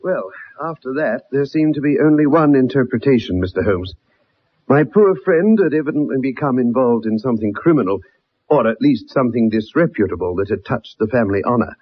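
On a muffled vintage radio recording, a former soldier. His voice is mid-pitched and a little rough, earnest and polite yet insistent, like a loyal friend who is troubled. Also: lively intonation, fairly quick. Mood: grave, recounting. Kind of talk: 1960s radio drama acting, first-person narration.

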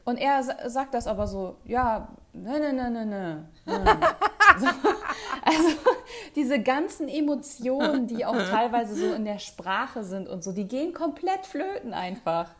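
A woman chatting casually with a friend, talkative and quick to laugh, with lots of fillers. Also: fairly high pitch, lively intonation. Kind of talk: casual chat.

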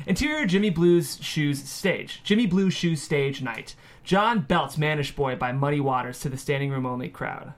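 Higher-voiced man narrating a screenplay. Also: animated, moderate pace. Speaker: stage-direction narrator. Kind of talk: scripted narration of a screenplay table read.

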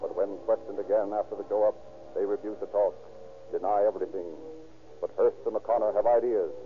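An actor narrating a police drama in a dramatic, deliberate 1930s radio style. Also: male voice, lowish voice, fairly even intonation, close to an everyday voice. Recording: tinny old-time radio recording. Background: music.

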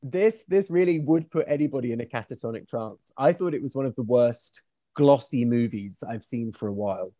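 A man's voice: mid-range voice, fairly quick, mellow, no echo, muffled recording. A middle-aged man co-hosting a casual critical discussion in an erudite, opinionated way. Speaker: podcast co-host, historian.

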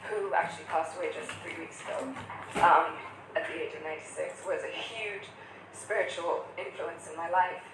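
A woman's voice: fairly low pitch, a bit bright, slight background noise. A woman from Pakistan, sharing a personal story in an earnest, slightly hesitant way. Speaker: student volunteer. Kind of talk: personal testimony. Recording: very echoey room.

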